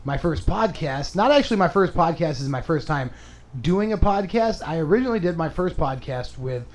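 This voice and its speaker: A man's voice: on the high side, lively intonation, a little fast. A man hosting a comedy podcast in casual, crude chat, rambling, self-mocking and profane. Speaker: podcast host and stand-up comedian.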